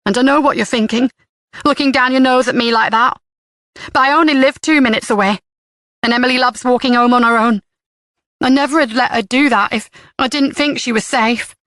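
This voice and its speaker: Brash defensive mother, played by a woman: raised pitch, sharp and fast, dry read. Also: no room sound, lightly acted. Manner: defensive, rapid jumbled talk.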